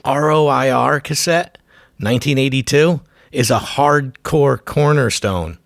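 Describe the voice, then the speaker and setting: A man's voice: mid-range voice, measured pace, vocal fry, dry recording, studio quality, faint music behind. A man narrating a documentary from a script in short, emphatic, profane phrases.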